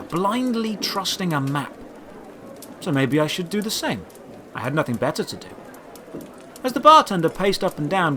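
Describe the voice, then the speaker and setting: A man's voice: high voice, bright, forceful tone, a little hiss or hum. A man narrating a scripted first-person adventure story, with outdoor ambience behind him. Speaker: narrator and creator of an audio drama.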